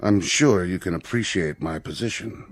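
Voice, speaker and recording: An actor playing a formal, awkwardly tactful school headmaster in a radio drama, with a halting, stiffly polite delivery. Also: male voice, low voice, soft background music, lightly acted. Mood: grave, uneasy.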